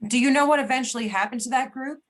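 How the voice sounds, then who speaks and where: Woman to play courteous, inquisitive investigator in a play reading, voice a little on the high side. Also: fast, close to an everyday voice. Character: polite female investigator interviewing witnesses. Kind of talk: amateur readers theater reading over videoconference.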